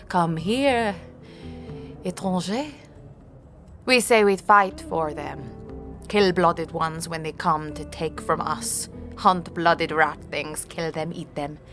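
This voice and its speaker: A woman's voice: deep voice, a bit bright, heavily acted. Ravenous feral ghoul queen. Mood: grim, boastful. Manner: broken, repetitive primitive phrasing peppered with French. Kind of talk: fantasy audio drama acting.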